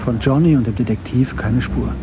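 A man narrating a German radio crime drama in a measured, matter-of-fact way. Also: mid-range voice, creaky, background noise. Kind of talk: scripted audio drama narration.

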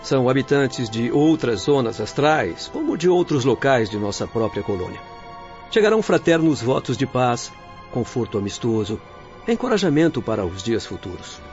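Male actor as composed, patient Brazilian spirit doctor, formal radio-play delivery, lo-fi recording over soft music. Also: mid-range voice, very animated, close to an everyday voice. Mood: encouraging. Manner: measured, formal, reassuring. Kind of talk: Brazilian radio drama acting. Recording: dull lo-fi radio drama audio with music.

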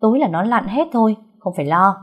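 A woman's voice: mid-range voice, animated, quick pace, slightly rough, highs cut off, pitched above the performer's own voice. A woman voicing a brash, cheeky young female fortune-teller who talks boastfully and colloquially in an audiobook story. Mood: brisk, self-assured.

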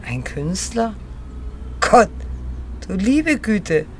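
A woman's voice: medium pitch, very animated, very slow, a little hiss or hum. A woman acting an astonished, disdainful street idler in a radio play, with exclamatory delivery.

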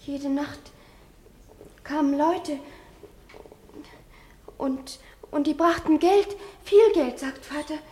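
A woman playing a frightened, neglected young girl who testifies haltingly in an old German radio drama. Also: high-pitched, a little mellow. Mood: anxious. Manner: halting, repeating herself. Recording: hissy 1950s radio drama recording.

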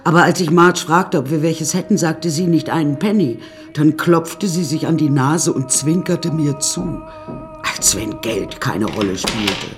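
A woman's voice: low-pitched, expressive, a little slow, over music. An actress playing a wry woman who recounts her death-strewn childhood in a radio play, dry and confiding. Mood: darkly comic. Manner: dry, darkly comic, confiding.

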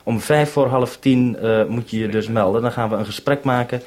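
A man's voice: mid-range voice, normal speed, darkish tone. A man speaking as a radio operator, using call procedure and repeating phrases to be understood. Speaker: radio programme maker, base operator. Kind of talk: two-way radio procedure talk.

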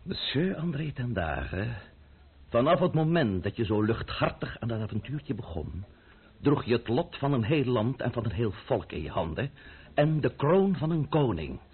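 Imperious, grandiose middle-aged count for radio drama; husky, hugely animated, booming when roused, muffled vintage sound. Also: male voice, moderate pace. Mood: thundering, exasperated. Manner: sardonic, grandiose, swinging from smooth to roaring. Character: imperious, blustering middle-aged count, powerful and quick to thunder. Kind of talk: Dutch radio drama acting. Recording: muffled, band-limited old radio recording.

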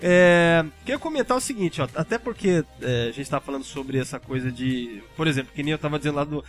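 A man's voice: on the high side, expressive, brisk, over music. A man with a Brazilian accent chatting casually as a podcast panelist, talkative and informal, with some casual swearing. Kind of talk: casual chat.

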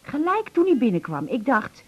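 An actress playing a sharp, sceptical wife in a radio play, with a dry and pointed way of questioning. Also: female voice, high-pitched, sing-song, normal speed, breathy edge, highs cut off, heavily acted. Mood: knowing. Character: sharp, sceptical wife who distrusts swindlers.

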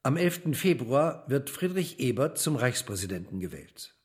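A man's voice: medium pitch, sing-song, normal speed, slight background noise. A man narrating a radio drama in a documentary style, reading formally, factually and precisely.

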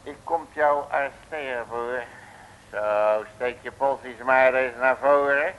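An actor playing a cocky, folksy detective in an old Dutch radio drama, slangy and boastful. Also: male voice, medium pitch, fairly even intonation, takes it slowly, dull audio. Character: cocky, folksy male detective, fond of his uncle's sayings. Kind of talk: 1950s-60s Dutch radio drama acting. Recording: noisy old radio recording.

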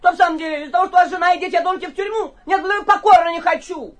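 An actor playing a flighty, cowardly young gentleman from the capital who blusters, stammers and repeats himself in a theatrical radio comedy. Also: very high voice, fairly even intonation, rapid-fire. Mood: indignant blustering. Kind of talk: theatrical radio play acting of a classic comedy.

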